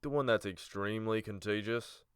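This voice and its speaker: A man's voice: fairly low pitch, normal speed, clear, resonant tone, no room sound, studio quality, close to an everyday voice. An actor playing a composed, courteous male village leader with a wry streak in a fantasy audiobook. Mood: wry teasing. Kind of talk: audiobook character acting.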